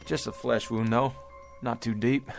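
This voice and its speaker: An actor playing an earnest, bewildered pioneer wagon traveler in a radio drama, speaking hesitantly in folksy old-time phrasing, with music behind. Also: male voice, medium pitch, steady tone, unhurried, vocal fry. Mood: dazed, polite.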